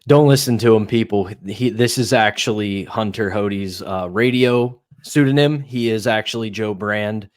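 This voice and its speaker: A man's voice: fairly low pitch, a little slow, hardly any pauses, no room sound, clean studio sound. A man in his thirties co-hosting a sports podcast, giving casual, opinionated commentary in a conversational way. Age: 30s. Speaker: podcast co-host.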